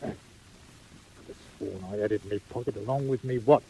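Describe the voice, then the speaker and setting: An actor playing a self-important, impatient British workhouse master, curt and blustering, in a 1940s audio drama. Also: male voice, lowish voice, fairly quick, creaky, warm tone, rough recording. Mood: smug, then puzzled. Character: pompous, gruff, impatient middle-aged male workhouse master.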